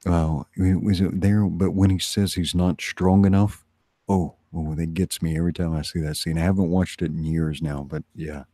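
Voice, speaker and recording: A man with a very low, mellow voice talks casually on a livestream. His phrasing is informal and repetitive, and the sound is close and dry. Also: fairly even intonation, moderate pace, no room sound.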